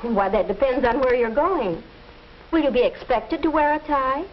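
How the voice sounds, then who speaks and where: A woman's voice: sits high, expressive, normal speed. A middle-aged actress playing an attentive housewife mother in a 1950s instructional film. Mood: attentive, helpful. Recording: muffled old film soundtrack.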